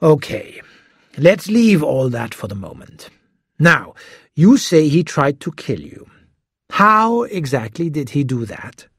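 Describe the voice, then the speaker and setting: A man voicing a fat, older detective who interrogates in a curt, drawn-out, impatient way. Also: fairly high pitch, big pitch swings, a bit bright, slightly rough, no room sound, studio quality, full character voice.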